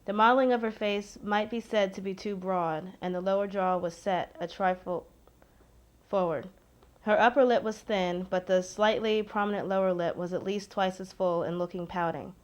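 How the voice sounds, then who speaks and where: A woman's voice: medium pitch, fairly even intonation, normal speed, natural voice. An actress playing a critical young woman giving an appraising dramatic monologue.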